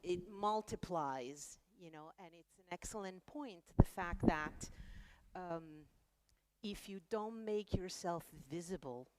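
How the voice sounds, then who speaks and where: Woman running a panel, slow and emphatic, lowish voice. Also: darkish tone, long reverb tail, slight background noise. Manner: slow, emphatic, with light laughs. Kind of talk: conference panel talk. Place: conference hall.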